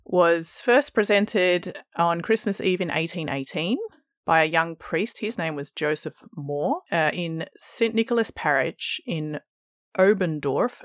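A woman's voice: low-pitched, dry recording, studio quality. A woman co-hosting, telling a playful comic story and chatting about music, laughing often. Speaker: podcast co-host.

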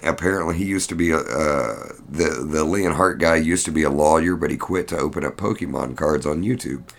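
A man's voice: very deep voice, fairly quick, vocal fry. A man hosting a podcast, giving a casual fight recap in a blunt, rambling, profane manner. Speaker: podcast host.

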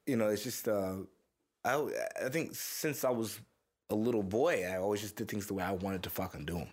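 Man, young adult, from Texas, casual interview, relaxed, profane, rambling. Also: fairly low pitch, fairly quick. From Houston, Texas area. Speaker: musician guest.

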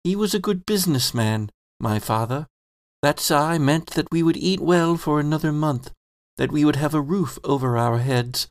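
A man performs a kindly middle-aged landlord speaking his thoughts in a quiet, reflective monologue. The voice sits in a gravelly register, and the recording is dry and close. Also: medium pitch, dry recording, lightly acted. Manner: soft reflective first-person monologue.

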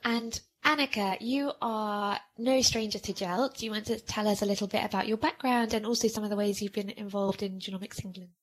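A woman hosting a podcast interview, talking in a reflective, measured way with some fillers. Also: mid-range voice, fairly even intonation, low-quality recording. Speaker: podcast host.

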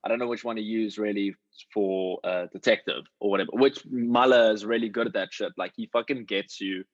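A man's voice: on the low side, fairly even intonation, fairly quick, no room sound, dull audio. A man chatting casually as a working actor giving advice, talking in an emphatic, slangy way with plenty of swearing. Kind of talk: casual chat.

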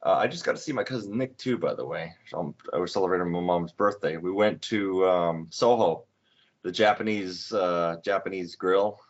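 A low-pitched young man in his late twenties talks with a friend, rambling and restarting his sentences with plenty of fillers. The recording sounds dull and lo-fi. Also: moderate pace. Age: 20s. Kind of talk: casual chat.

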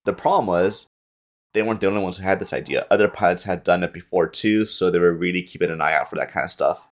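A man's voice: sits low, quick pace. A man guesting on a podcast, telling stories informally and slipping into quoted dialogue. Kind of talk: casual storytelling.

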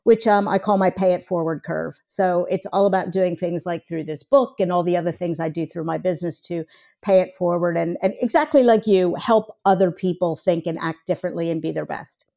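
Mellow-voiced American woman, chatty. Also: fairly low pitch, steady tone, fairly quick, gravelly. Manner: chatty, upbeat, many fillers. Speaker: guest, HR professional. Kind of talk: casual interview talk.

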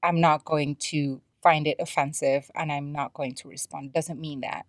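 A woman's voice: sits low, fairly even intonation, normal speed, no echo, clean studio sound. A woman hosting a podcast solo, talking in a conversational, explanatory and opinionated way. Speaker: podcast host.

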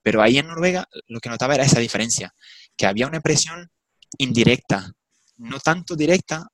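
A man's voice: mid-range voice, lively intonation, a little fast, fairly bright tone, no echo. A young man from Portugal, a swim coach speaking Spanish with a Portuguese accent, talking thoughtfully and a little hesitantly in an online discussion. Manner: thoughtful, hesitant, apologetic about his Spanish.

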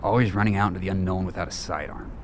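An actor playing a bitter, paranoid older father in a radio play, gruff, gravelly and scolding. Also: sits low, steady tone, rapid-fire, slight background noise, lightly acted. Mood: bitter, agitated. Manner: scolding, cursing.

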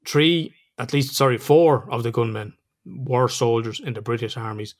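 An Irish man talking on a podcast in a loose, conversational way. He chuckles a lot, drops the odd swear word, and speaks at a middling pitch for a man. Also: fairly even intonation, moderate pace. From Ireland. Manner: chatty, laughs often, swears casually. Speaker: podcast co-host. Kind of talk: casual podcast chat.

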